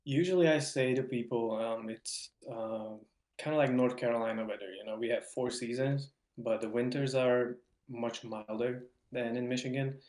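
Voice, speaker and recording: A man's voice: mid-range voice, fairly even intonation, moderate pace, darkish tone. A young man from Hungary with a Hungarian accent, chatting casually as a podcast guest and often repeating words. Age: young adult. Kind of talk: casual interview chat. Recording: roomy, echoing voice.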